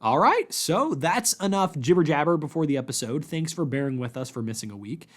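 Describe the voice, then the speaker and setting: A man hosting a podcast in a casual, chatty, informal way with animated emphasis. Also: on the high side, fairly quick. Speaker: podcast host.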